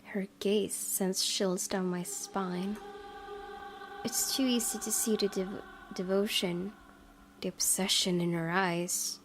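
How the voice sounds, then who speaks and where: A woman's voice: medium pitch, steady tone, slow pace, noisy background. A woman streamer reading a visual novel aloud and adding casual, musing commentary. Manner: musing, hesitant asides. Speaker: let's play streamer. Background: faint game music.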